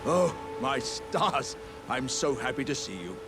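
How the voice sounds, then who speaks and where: A man's voice: medium pitch, sing-song, measured pace, over music. A man playing a jovial, polite, boastful living warrior jar in a video game, with hearty, courtly, old-fashioned phrasing. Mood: relieved, genial. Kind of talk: video game character dialogue.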